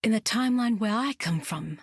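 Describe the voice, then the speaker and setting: A cool deadly warrior. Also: female voice, on the high side, expressive, brisk, slightly rough, dry recording, clean studio sound. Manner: cool, precise.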